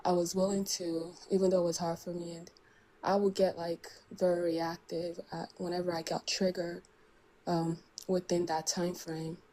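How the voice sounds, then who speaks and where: A woman calls in to ask for advice. Her voice sits low and stays flat with a dark, mellow tone, and she hesitates often, restarting her sentences. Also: normal speed, poor audio. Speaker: caller seeking advice. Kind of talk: telling a personal story.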